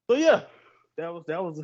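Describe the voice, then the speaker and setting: A man's voice: high voice, sing-song, measured pace, darkish tone. A man co-hosting a wrestling podcast, laid-back and rambling, laughing at himself while telling a story. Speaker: podcast co-host. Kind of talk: casual chat. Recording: dull lo-fi mic.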